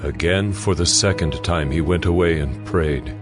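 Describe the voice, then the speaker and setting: A man's voice: low-pitched, moderate pace. A man narrating a dramatized Bible reading in a scripted storytelling style. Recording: music bed under the voice. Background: music.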